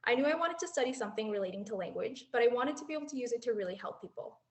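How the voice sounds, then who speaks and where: A woman's voice: a little high, lively intonation, racing. A woman in her twenties giving an earnest, reflective prepared speech as a former exchange student, laughing lightly now and then. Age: 20s. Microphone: handheld mic.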